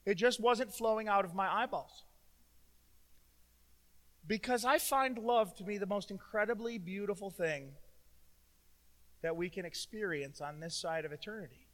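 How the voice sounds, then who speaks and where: A middle-aged man preaching a sermon as pastor, loud and emotional, with self-deprecating humor. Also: sits high, normal speed, long pauses. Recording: long hall reverb.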